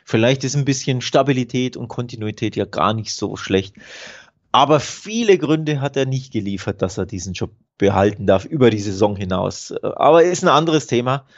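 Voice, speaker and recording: A man's voice: medium pitch, animated, moderate pace. Hesitant man on dull lo-fi audio. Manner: hesitant, cautious, hedging opinions. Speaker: betting podcast co-host. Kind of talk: casual football analysis chat.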